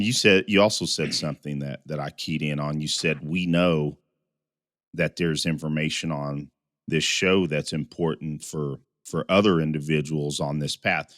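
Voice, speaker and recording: Low, scratchy-voiced male podcast co-host. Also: a bit bright, no echo, clean studio sound. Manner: repeats and restarts words. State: scratchy voice after a cold. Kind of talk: casual podcast chat.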